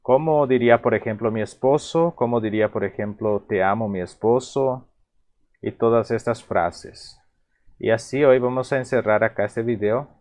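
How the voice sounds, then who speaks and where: A man from Paraguay with a Paraguayan Spanish accent and a Guarani mother tongue, teaching phrases in a relaxed, halting way with many repeats and restarts. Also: medium pitch. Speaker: language teacher. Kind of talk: explaining vocabulary in a video lesson.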